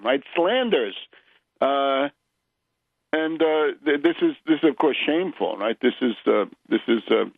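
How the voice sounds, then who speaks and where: A man's voice: a little high, no room sound. A man hosting a political radio show, delivering an emphatic, sardonic monologue and often checking his points with a clipped "right?". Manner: sardonic, scornful. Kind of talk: political commentary monologue, polemic. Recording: muffled lo-fi radio audio.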